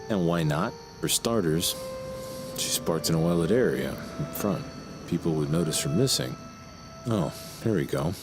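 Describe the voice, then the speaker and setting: A man's voice: low-pitched, vocal fry. A man playing a desperate crime writer in an audio drama, wordy and theatrical, talking himself toward something sinister.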